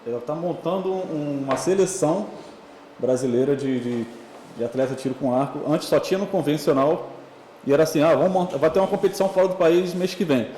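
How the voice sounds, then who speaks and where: Um homem convidado de um podcast, atleta de tiro com arco, contando histórias em conversa descontraída e cheia de vícios de linguagem. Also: male voice, on the high side, warm tone, echoey room, a little hiss or hum, rough recording. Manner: fast, chatty, storytelling with filler words. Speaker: podcast guest, archery athlete. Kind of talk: casual interview chat.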